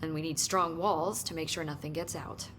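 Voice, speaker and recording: A woman's voice: low-pitched, fairly even intonation, normal speed, a bit bright, a little hiss or hum. An actress playing an eager, chatty researcher, articulate and analytical as she explains. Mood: eager, thoughtful. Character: eager, chatty woman researcher at secretive bureau. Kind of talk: naturalistic game acting, explanatory dialogue.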